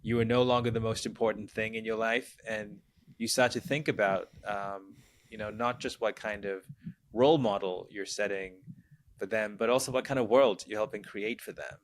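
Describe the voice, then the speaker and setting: A man in his forties from Sri Lanka, a guest speaking from home in a measured way, often saying "you know". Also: on the low side, steady tone, a little hiss or hum. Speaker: guest, brand consultant. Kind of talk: casual interview chat.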